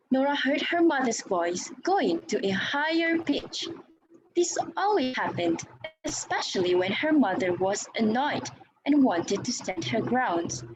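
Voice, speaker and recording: A woman reading scripted narration for a dramatic reading, as an expressive storyteller. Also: mid-range voice. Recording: clear background noise.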